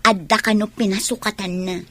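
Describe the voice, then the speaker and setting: A woman with an Ilocano accent playing a confiding character in a family radio drama, with a husky, breathy voice. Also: medium pitch, steady tone, fairly quick, fairly bright tone, natural voice. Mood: concerned.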